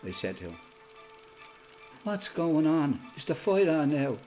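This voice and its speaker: A man with an Irish accent playing a resolute, plain-spoken freedom fighter giving a matter-of-fact, measured first-person testimony in a radio play. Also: fairly high pitch, sing-song, warm tone, faint music behind. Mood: matter-of-fact recollection.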